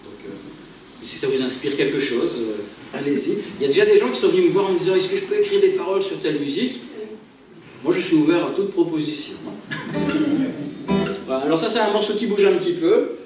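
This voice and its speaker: A man's voice: a little high, lively intonation, fairly quick, a little mellow, faint music behind. A man from France, a pastor and guitarist, talking casually to a church gathering, chatty and self-deprecating with many hesitations. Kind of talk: informal church talk introducing music. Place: church hall. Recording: very echoey hall, far from the mic.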